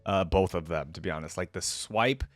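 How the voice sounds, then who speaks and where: A man's voice: low-pitched, moderate pace, no echo. A man giving casual reaction commentary as a host, sometimes reading text aloud.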